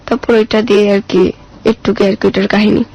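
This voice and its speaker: A woman with a colloquial Bangladeshi accent recounts personal experience in casual, plain speech, quoting conversations as she goes. Her voice stays quite monotone and has a marked creak. The recording sounds muffled and low in fidelity. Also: brisk. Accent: Bangladeshi colloquial Bengali. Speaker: interview guest telling her life story.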